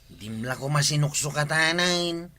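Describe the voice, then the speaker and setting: A man's voice: a little high, unhurried, pushed and bright. A man playing a nosy, mocking neighbour in a village comedy drama, with an Ilocano accent. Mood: needling.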